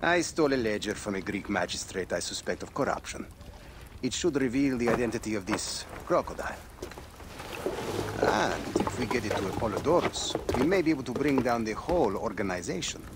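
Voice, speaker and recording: An actor playing a weary, guarded rebel fighter on the run, speaking in a measured, dramatic way in a game cutscene. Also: male voice, fairly low pitch, big pitch swings, heavy background noise. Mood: grave, conspiratorial.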